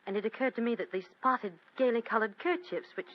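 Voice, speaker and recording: A woman's voice: on the high side, fairly quick, close to an everyday voice. An actress playing an anxious, well-bred young English woman, earnest and theatrical, in a radio drama. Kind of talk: vintage radio drama acting. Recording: dull, lo-fi radio recording.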